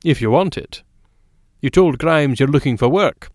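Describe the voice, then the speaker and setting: A man voicing a blunt, dryly amused newspaper man in an audiobook, brisk and forthright. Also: medium pitch, animated, darkish tone, dry recording, clean studio sound, pitched above the performer's own voice, close to an everyday voice. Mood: brisk, decisive. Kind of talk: audiobook character dialogue.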